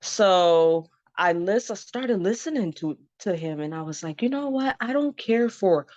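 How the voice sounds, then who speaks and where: A woman's voice: mid-range voice, animated, a little slow, a little mellow, no echo. A woman in her thirties from Zimbabwe, frankly telling her own story as an interview guest, often laughing and saying "um" and "you know". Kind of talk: candid personal story, casual. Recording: dull lo-fi dry sound.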